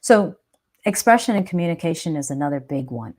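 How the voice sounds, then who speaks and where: A woman's voice: low-pitched, animated, fairly quick, a little mellow. A woman hosting a live chat, talking earnestly and reflectively about self-doubt and growth, often checking in with a "right?". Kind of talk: motivational live stream talk.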